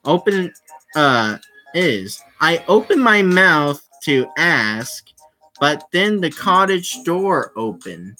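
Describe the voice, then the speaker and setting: A streamer giving casual commentary on a game, halting and often repeating phrases. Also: mid-range voice, very animated, sharp tone, soft background music, poor audio. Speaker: streamer playing a visual novel. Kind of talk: casual livestream commentary with read-aloud.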